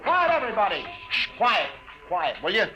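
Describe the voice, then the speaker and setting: A man playing a brash, excitable newspaper reporter in a 1950s radio drama. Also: sits high, moderate pace. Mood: urgent. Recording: dull vintage radio recording with music.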